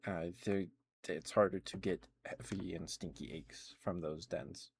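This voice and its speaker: Deep-voiced man rambling in ASMR style. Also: steady tone, unhurried, vocal fry, slight background noise. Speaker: livestreamer. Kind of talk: casual ASMR gaming chat.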